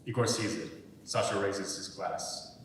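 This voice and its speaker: A man reading screenplay stage directions aloud as scripted narration, recorded from far off in an echoing hall. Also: unhurried. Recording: echoey hall, far from mic, rumbly noise.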